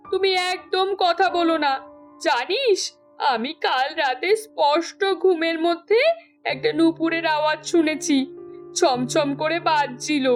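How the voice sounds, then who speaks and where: A woman's voice: very high voice, full character voice. A woman voicing a middle-aged mother in a horror cartoon, fretful, dramatic and insistent.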